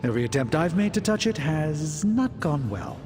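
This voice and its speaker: A man playing an anxious occult researcher in a video game cutscene, speaking formally and gravely with hesitant pauses. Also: fairly high pitch, big pitch swings, background noise. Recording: long reverb, music underneath.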